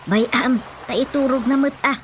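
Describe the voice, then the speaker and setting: A woman's voice: sits high, steady tone, normal speed, close to an everyday voice. An actress playing a fretful, plain-spoken older mother who complains matter-of-factly in an Ilocano radio drama comedy. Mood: disapproving. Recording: music behind the voice.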